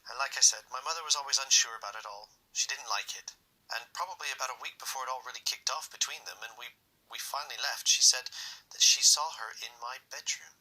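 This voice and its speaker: An actor playing a candid, reflective interviewee recalling a haunting in an audio drama, frank and conversational. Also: male voice, mid-range voice, fast, sharp tone, rough recording. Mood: candid, uneasy. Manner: conversational, frank, hesitant in places.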